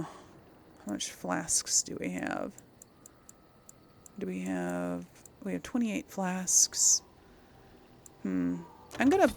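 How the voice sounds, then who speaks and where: A woman's voice: medium pitch, vocal fry, slight background noise. A woman giving casual playthrough commentary as host of a gaming video, chatty and thinking aloud.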